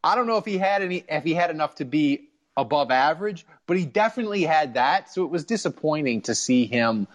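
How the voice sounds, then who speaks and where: A man hosting a sports podcast, telling stories as an excitable, casual fan who swears freely. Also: a little high, a little fast, few pauses. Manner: profane, excitable storytelling.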